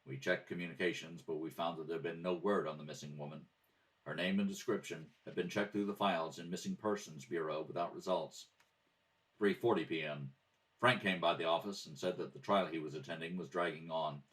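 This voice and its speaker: A man reading scripted police procedural narration in a clipped, matter-of-fact, deadpan way. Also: extremely low pitch, a little fast. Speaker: amateur radio theatre reader. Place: at a kitchen table. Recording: slightly distant home microphone.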